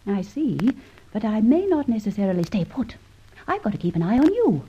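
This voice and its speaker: An actress playing a plucky, stubborn young woman adventurer, brisk and teasing, in an old radio drama. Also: mid-range voice, sing-song, mellow, natural voice. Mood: teasing, composed. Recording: muffled, hissy old radio recording.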